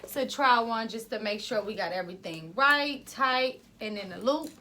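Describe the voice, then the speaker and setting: Woman, casual podcast host, loud and rambling, bright tense tone. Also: a little high, moderate pace, slight background noise. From Ohio, US.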